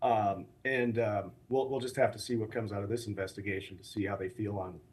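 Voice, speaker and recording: An American man speaking as an academic expert in a news interview, explaining things in a measured, hesitant way. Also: lowish voice, fairly even intonation, a little mellow. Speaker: assistant professor of air traffic management, expert guest. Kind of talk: live news interview answers.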